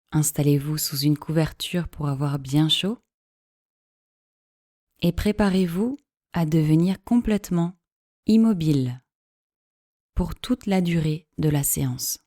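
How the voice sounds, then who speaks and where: Female meditation guide, calm and measured, mellow tone, close dry sound, soothing instructional delivery. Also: deep voice, no room sound. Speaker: yoga nidra teacher.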